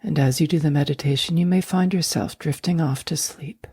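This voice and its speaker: A woman guiding a sleep meditation in a soft, breathy near-whisper, speaking slowly and gently with long, soothing pauses. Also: sits low, dry recording. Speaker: meditation guide.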